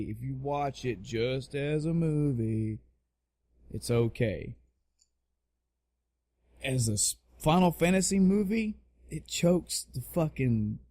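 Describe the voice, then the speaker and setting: A man's voice: mid-range voice, warm tone, no room sound. A man in his thirties chatting casually on a podcast, blunt and joking, swearing freely and repeating phrases for emphasis. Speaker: podcast co-host. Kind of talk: casual opinionated podcast chat.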